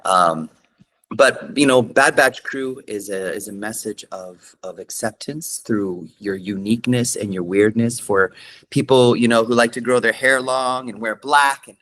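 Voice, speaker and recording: A man's voice: medium pitch, a little slow, fairly bright tone. A man from California, a singer-songwriter answering interview questions in an earnest way, with many fillers and restarts.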